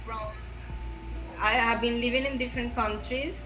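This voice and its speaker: A woman's voice: a little high, steady tone, moderate pace, music in the background, low-quality recording. Venezuelan-accented woman, earnest and searching. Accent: Venezuelan Spanish. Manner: earnest, repeats and restarts phrases while searching for words. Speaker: podcast guest. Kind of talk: conversational interview.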